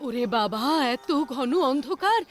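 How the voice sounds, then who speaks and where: A woman's voice: fairly high pitch, very animated, a little slow, faint music behind. A woman playing a frightened, fussing village mother-in-law in a horror story, exclaiming melodramatically. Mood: horrified. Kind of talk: dramatized horror story acting.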